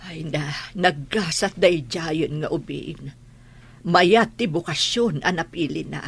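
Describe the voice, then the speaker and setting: A woman playing an elderly, plain-spoken village grandmother in a radio drama, worried, with a husky voice and a Filipino accent. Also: deep voice, slow pace, fairly bright tone, heavily acted. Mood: worried, resigned.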